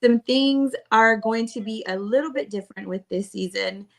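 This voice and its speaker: Woman, podcast co-host, casual chat, gravelly, laughs a lot, teasing. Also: on the high side, moderate pace. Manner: laughs often, bubbly and teasing.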